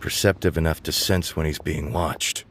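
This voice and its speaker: A man's voice: rumbling low, steady tone, moderate pace, heavy background noise. A man voicing an earnest, dutiful male subordinate reporting in a game. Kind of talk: game dialogue.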